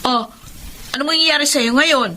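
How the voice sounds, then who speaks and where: A woman's voice: high-pitched, measured pace, sharp tone, slight background noise. A woman with a Filipino accent playing a weary, exasperated breadwinner daughter in her thirties in a radio drama, emotional and nagging. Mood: exasperated, scolding.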